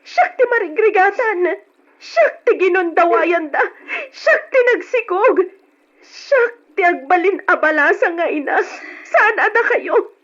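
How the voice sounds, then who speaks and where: An actress in an Ilocano radio drama playing a bitter young pregnant daughter, sobbing and wailing in anguish. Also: female voice, extremely high pitch, animated, a little slow, fairly bright tone, heavily acted. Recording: dull, muffled radio drama recording.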